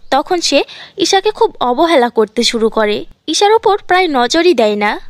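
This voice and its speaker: A woman's voice: high-pitched, no room sound. A woman narrating a scripted fairy tale as a storyteller.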